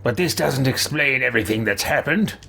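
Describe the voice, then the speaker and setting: A man's voice: mid-range voice, animated, brisk, gravelly, sharp tone, full character voice. An actor playing a direct, questioning male adventurer in a fantasy video game. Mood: probing, controlled.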